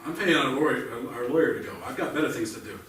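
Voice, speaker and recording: A man's voice: mid-range voice, quick pace, fairly bright tone, close to an everyday voice. An actor playing a defensive, argumentative middle-aged father in a live staged play reading. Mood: combative. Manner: insistent, argumentative, stumbling over restarts. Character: defensive, combative father shielding his teenage son. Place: indoor theatre stage, recorded from a distance. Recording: echoey, distant theatre recording with faint noise.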